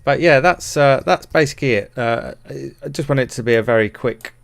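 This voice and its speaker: A man giving a casual software tutorial in a hesitant, rambling, informal and self-deprecating way. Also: medium pitch, fairly quick, gravelly, no room sound, studio quality. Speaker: music production tutorial presenter.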